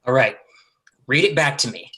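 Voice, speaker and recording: An actor reading a domineering, rough older brother in a play, with a husky voice and badgering, slangy speech. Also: male voice, medium pitch, expressive, normal speed, bright, forceful tone. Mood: impatient, irritated.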